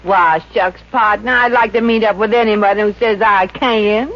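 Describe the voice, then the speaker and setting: An actress playing a wisecracking, soft-hearted showgirl with slangy exclamations in a 1950s radio comedy. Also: medium pitch, fairly even intonation, measured pace, lightly acted. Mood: startled, eager. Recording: dull, noisy old radio recording.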